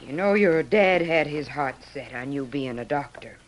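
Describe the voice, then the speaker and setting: A woman's voice: low voice, lively intonation, normal speed. An actress playing a resolute, motherly middle-aged widow in an old-time radio western, earnest and firm. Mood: firm, caring. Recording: vintage radio drama recording.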